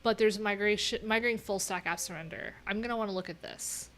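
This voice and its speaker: A woman streams from a small room at home with faint noise behind her. Her voice sits at an average pitch. She talks in an offhand, wry way, thinking aloud as she goes through what is on her screen. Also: a little fast, fairly bright tone. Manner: offhand, wry, chatty asides while reading screen. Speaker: livestream host and web developer. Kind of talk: casual live coding stream chat, thinking aloud.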